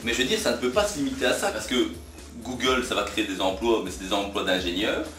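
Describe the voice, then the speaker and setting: A man's voice: on the low side, fast talker, fairly bright tone, slight background noise. A man reasoning aloud as a politician in a debate, often repeating words as he searches for the next one. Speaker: local politician in a panel debate. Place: cafeteria. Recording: echoey room, slightly distant mic.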